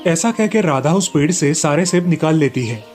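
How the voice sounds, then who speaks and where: Higher-pitched man narrating a children's story. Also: animated, moderate pace, a little mellow, soft background music.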